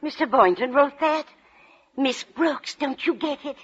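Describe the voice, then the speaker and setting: A woman's voice: high voice, a little slow. An actress playing a dotty, kindly older woman, a motherly and scatterbrained landlady in a 1940s radio comedy. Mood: excited. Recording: dull, noisy vintage radio recording.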